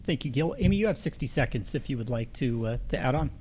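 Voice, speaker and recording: American man moderating, mid-pitched voice. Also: animated, quick pace, a little mellow, slight background noise. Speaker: forum moderator. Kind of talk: moderating a candidate forum, reading questions and announcements.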